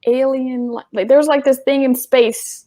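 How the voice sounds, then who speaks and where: A woman's voice: high voice, fairly even intonation, moderate pace, mellow, no echo, studio quality. A woman in her thirties from Detroit with a light voice, chatting in a loose way with many fillers as an interview host. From Detroit, USA. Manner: chatty, lots of fillers and laughs. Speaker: host, animator. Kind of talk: casual interview talk.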